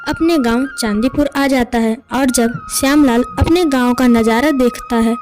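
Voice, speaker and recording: A woman tells a scripted village moral tale as narrator. Her voice is high-pitched, and light music plays behind it. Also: moderate pace. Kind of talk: scripted children's moral story narration in Hindi.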